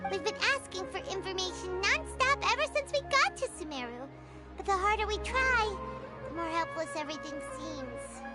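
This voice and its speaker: Tiny chirpy fairy girl, super squeaky and bouncy, loud music behind. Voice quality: high, squeaky and bubbly. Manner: speaks of herself in third person. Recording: game audio with loud background music.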